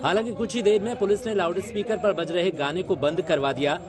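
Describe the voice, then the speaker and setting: A man's voice: high-pitched, fairly quick. A man reading a scripted television news report as its narrator. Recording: music under the voice.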